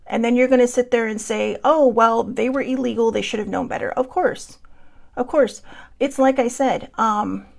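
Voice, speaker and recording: An American woman speaking from the heart as a livestream host, in an earnest, reflective, halting way, stressing points by repeating them. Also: medium pitch, gravelly. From United States. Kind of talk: personal, heartfelt spoken commentary.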